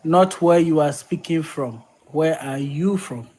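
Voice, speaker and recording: A man's voice: a little high, a little slow, darkish tone, breathy edge. A man moderating a live call-in, firm and businesslike as he runs the queue.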